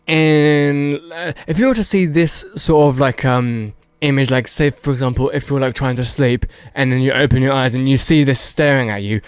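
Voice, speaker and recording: A man's voice: on the high side, smooth, no room sound. A man giving casual, unscripted video commentary, rambling and hedging as he goes and repeating words for emphasis. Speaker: video host.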